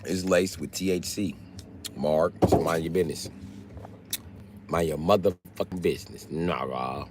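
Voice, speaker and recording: Deep-voiced man, brash and blunt. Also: measured pace, long pauses, noisy background. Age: late 30s. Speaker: live streamer. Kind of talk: casual profane livestream chat answering commenters.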